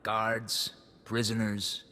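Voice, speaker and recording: A steady, plain-spoken colonel in a game cutscene. His voice sits fairly low and he keeps it terse and practical, ringing in a long hall reverb. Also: very slow, exaggerated voice. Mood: matter-of-fact. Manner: terse, matter-of-fact. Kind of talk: video game cutscene acting.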